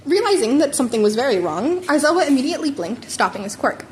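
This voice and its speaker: Woman, high voice, amateur story narration, wry, stumbles and repeats, faint background noise. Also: big pitch swings, fast talker. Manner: wry, informal reading with frequent stumbles and repeats. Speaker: podfic reader narrating fan fiction. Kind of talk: scripted narration read aloud.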